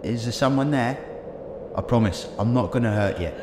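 A man's voice: mid-range voice, normal speed, natural voice. A man playing an eccentric, earnest, reassuring time-travelling hero in an amateur audio drama. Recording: echoey amateur audio drama, very noisy background.

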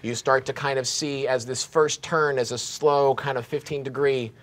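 A man's voice: medium pitch, steady tone. Man narrating a tabletop horror game. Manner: descriptive, halting narration with fillers. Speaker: game master. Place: studio set at a table.

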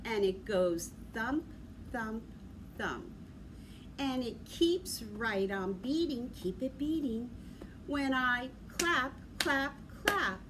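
A woman's voice: fairly high pitch, animated, slow pace. A middle-aged woman leading a children's story time with rhymes and action songs, giving simple, encouraging instructions to young children. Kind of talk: children's sing-along and rhyme session, talking to young children. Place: indoor library room with large windows. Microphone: camera a few feet away. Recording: clearly noisy room ambience.

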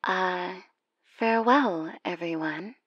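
A woman's voice: fairly low pitch, slow pace, no echo, highs cut off, faint music behind. A woman voicing a serene, motherly space princess in a fan cartoon, speaking politely with refined, composed diction.

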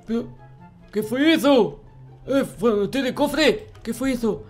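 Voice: medium pitch, measured pace, lightly acted. A performer acts out a naive little boy in a video game roleplay, timid and whiny. He stammers and repeats himself in childish worry. Music plays behind the voice. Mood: puzzled. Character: naive, easily frightened childlike noob boy in Minecraft roleplay. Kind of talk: improvised in-character gaming roleplay.

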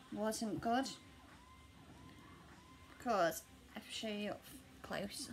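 Woman in her thirties, a touch hoarse, rambling and self-deprecating, relaxed slow delivery. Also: on the high side, slight background noise. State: slightly croaky voice. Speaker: cross-stitch vlogger. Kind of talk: casual chat showing stitching projects. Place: living room at home, seated on a sofa.

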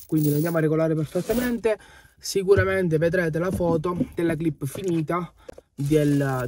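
A man's voice: a little high, slight background noise. Young man vlogging from his car. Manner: relaxed, shows parts to camera. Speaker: home gym vlogger. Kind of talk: casual update vlog. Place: inside a parked car. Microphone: phone held close.

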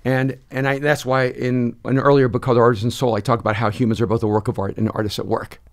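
A man in his sixties, born in El Salvador, talks expansively into a close studio mic in a dark podcast studio. His mid-pitched voice races ahead in places, then slows down to land a point. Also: little pitch movement. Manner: expansive, gestures a lot, quick bursts then slow emphasis. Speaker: pastor and author, podcast guest. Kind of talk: reflective conversational storytelling. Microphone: studio mic on a boom, close.

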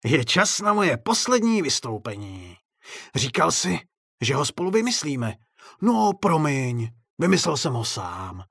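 A man voicing a vengeful, mocking ghostly circus clown with a raspy voice in an audiobook story. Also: on the high side, very animated, a bit bright, no echo, clean studio sound, pitched above the performer's own voice, heavily acted. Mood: gleeful menace. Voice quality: raspy, throaty laugh. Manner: mocking, sing-song scolding.

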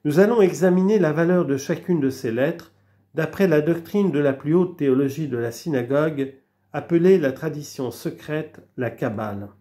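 A man slowly reading aloud from an old theology book, measured and deliberate, with small restarts. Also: fairly high pitch, mellow. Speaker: reader of a religious book. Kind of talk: slow read-aloud of a theology text.

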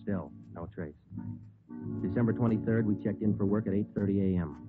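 An actor playing a terse, matter-of-fact police detective in an old radio crime drama, clipped and deadpan, narrating his case. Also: fairly low pitch, a little fast, close to an everyday voice. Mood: deadpan, grave. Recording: muffled old radio recording, music behind.

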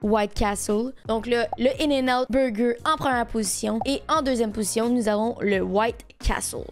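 A woman's voice: on the high side, moderate pace. A woman in her twenties with a Québécois French accent, chatting through a casual food-review vlog, swearing casually. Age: 20s. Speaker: vlogger. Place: busy fast-food restaurants. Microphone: handheld camera close to face. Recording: music and crowd noise behind. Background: music and a crowd.

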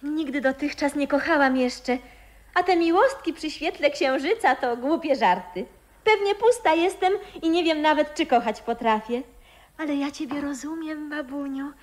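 A woman's voice: sits high, moderate pace, close to an everyday voice. An actress in a 1960s radio play playing an earnest, impulsive young granddaughter, with an ardent and girlish theatrical delivery. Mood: tender, ardent.

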